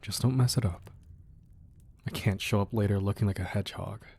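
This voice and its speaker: A man acting a gruff but tender, protective crime boss husband in an intimate, soft-spoken ASMR romance roleplay. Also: fairly low pitch, steady tone, fairly quick. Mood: teasing, indulgent. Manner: soft, intimate, affectionate murmur.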